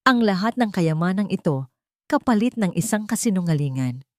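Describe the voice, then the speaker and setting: A woman's voice: mid-range voice, expressive, normal speed, a little mellow, dry recording, lightly acted. Woman reading remorseful billionaire man, earnest pleading, storybook dialogue, dry close recording. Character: remorseful billionaire man renouncing his wealth. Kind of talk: storybook dialogue read by narrator.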